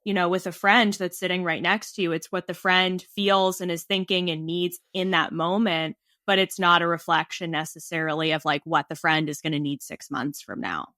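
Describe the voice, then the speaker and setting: A woman's voice: fairly low pitch, fairly even intonation, normal speed, few pauses, sharp tone, dry recording, clean studio sound. A woman hosting a spiritual podcast, enthusiastic and affirming toward her guest. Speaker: podcast host. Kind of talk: casual podcast interview.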